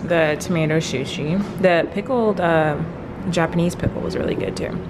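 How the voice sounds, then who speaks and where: A woman's voice: low-pitched, moderate pace, noisy background. A young woman hosting a food vlog, chatting to viewers while holding the camera at arm's length in a restaurant. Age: young adult. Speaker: vlog host. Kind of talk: casual food vlog chat. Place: indoor restaurant. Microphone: handheld camera at arm's length.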